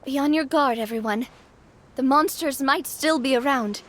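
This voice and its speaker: A woman voicing an upbeat, showy young adventurer who talks in a lively, theatrical way, in video game voice acting. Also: high voice, normal speed, lightly acted.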